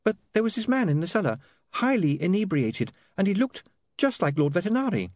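A man's voice: sits high, very animated, fairly quick, a little mellow, dry recording, studio quality, voice pushed up. A man voicing a nervous, well-bred young woman who hesitates and stumbles over her words. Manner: nervous, hesitant, full of ums.

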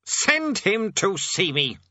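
A man's voice: sits high, sing-song, slow pace, sharp tone, no room sound, dull audio, pitched above the performer's own voice, full character voice. A man playing an irritable, commanding demon king in a storybook, curt and snapping like an exasperated boss.

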